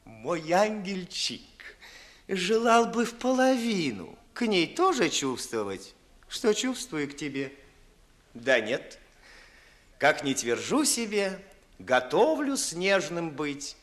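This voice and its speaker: A servile young secretary woos and flatters in a fairly high voice. His sugary phrases are drawn out slowly and glide through huge swings of pitch, in 1950s radio theatre style. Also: heavily acted. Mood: wooing, sugary. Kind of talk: 1950s Russian classical radio theatre acting.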